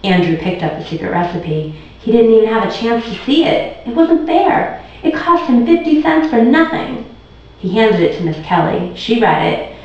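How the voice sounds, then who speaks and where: A woman's voice: on the high side, very animated, normal speed. A woman tutor reading a children's storybook aloud as narrator. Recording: very echoey room, distant muffled mic.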